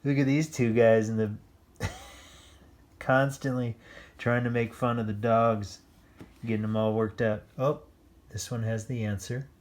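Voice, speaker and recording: Children's read-aloud host: unhurried, playful delivery, drifting into chatty asides about the pictures. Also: mid-range voice, fairly even intonation, darkish tone. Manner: playful, lingering over pictures with exclamations. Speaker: children's story-time reader.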